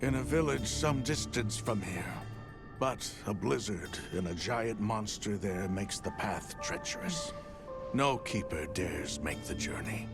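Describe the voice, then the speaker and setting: A man playing a grave, cautious village elder in a video game, speaking in a formal, measured, solemn way. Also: fairly low pitch, animated, loud music behind the voice. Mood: grave, pensive. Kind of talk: video game cutscene acting.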